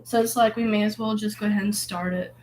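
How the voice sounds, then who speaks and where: A hoarse woman, sick at the moment, talking in a casual, rambling and reflective way, full of filler words, as she paints. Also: medium pitch, fairly even intonation, quick pace, a little mellow, a little hiss or hum. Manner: rambling, filler-heavy, musing. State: hoarse from illness. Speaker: vlogger painting.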